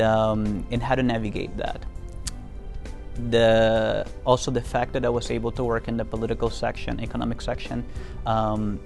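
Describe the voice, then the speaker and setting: A man's voice: fairly low pitch, creaky, darkish tone. A young man from Venezuela, speaking earnestly in an interview testimonial about his work as a Foreign Service officer, with frequent fillers and restarts.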